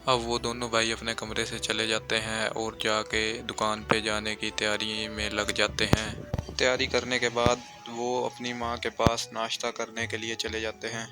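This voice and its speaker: Man narrating a story in a flat, unvarying tone. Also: lowish voice, moderate pace. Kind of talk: scripted storybook narration for a cartoon. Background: music.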